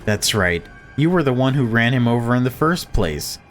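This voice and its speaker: A man's voice: medium pitch, sing-song, moderate pace, a bit bright, pitched above the performer's own voice. A protective, commanding mother from a horror visual novel, acted out by a man reading aloud. She speaks as the one in charge of her family and expects to be obeyed. Faint music plays underneath. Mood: threatening, fierce. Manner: stern, commanding.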